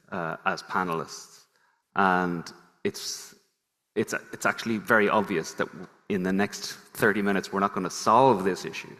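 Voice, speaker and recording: A man's voice: sits low, a bit bright. A man moderating a conference panel, polished but with frequent restarts as he frames the discussion. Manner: polished, with restarts as he sets the agenda. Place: large conference hall. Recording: long hall reverb.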